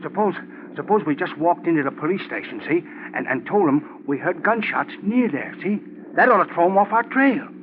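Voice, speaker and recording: An actor playing a nervous, dim crook sidekick who stammers and repeats himself in a 1940s radio crime drama. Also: male voice, high voice, lively intonation, moderate pace. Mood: eager. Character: jittery, eager-to-please, dim male crook sidekick. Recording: muffled, hissy 1940s radio recording.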